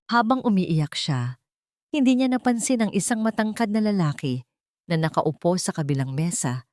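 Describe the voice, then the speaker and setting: A woman's voice: lowish voice, a little mellow, no echo, clean studio sound. A woman reading a scripted dramatic story as an audiobook narrator.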